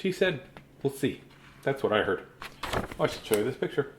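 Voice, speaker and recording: A man's voice: mid-range voice, big pitch swings, fast, a little hiss or hum. A man chatting casually as host of a bedtime story reading, playful and self-deprecating, with joking asides.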